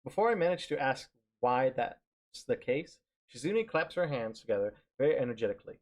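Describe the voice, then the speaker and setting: A man reading a visual novel aloud on a stream, narrating haltingly and often repeating words as he goes. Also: medium pitch, big pitch swings, a little mellow. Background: music.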